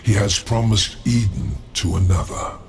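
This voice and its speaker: A man voicing a grave, ominous otherworldly informant in a video game, speaking in a deliberate, portentous way. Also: fairly low pitch, expressive, vocal fry. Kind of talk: game cutscene dialogue. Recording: faint ambient noise.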